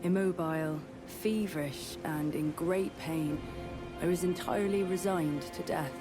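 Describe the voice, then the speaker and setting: A woman playing a devoted, composed warrior in a fantasy game, speaking in a measured, formal and earnest manner. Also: deep voice, music in the background. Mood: earnest, courteous. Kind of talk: dramatic fantasy game dialogue.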